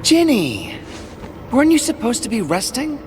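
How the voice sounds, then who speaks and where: A man playing an earnest, protective young big brother in a video game, sounding soft-hearted. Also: very high-pitched, huge pitch swings, normal speed, a little hiss or hum, heavily acted. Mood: surprised, concerned. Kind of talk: video game cutscene acting.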